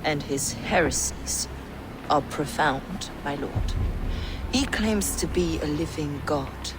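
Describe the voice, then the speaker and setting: Low-voiced zealous paladin. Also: female voice, background noise. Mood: cold, urging. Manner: formal, measured. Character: zealous, scheming female paladin, coolly deferential. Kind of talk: dramatic video game acting. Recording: music under the voice.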